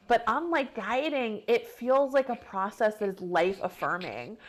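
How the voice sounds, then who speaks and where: A woman in her thirties, a guest author and activist, speaking earnestly in a reflective podcast interview with frequent fillers. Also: mid-range voice. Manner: earnest, animated when excited, many fillers.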